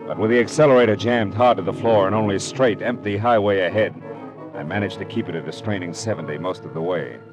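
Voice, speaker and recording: A man plays a tough American private detective in an old radio drama. His low voice is impatient and wisecracking, in clipped hardboiled 1940s style, with music on the vintage recording. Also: fast, natural voice, rough recording. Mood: tense, hardboiled.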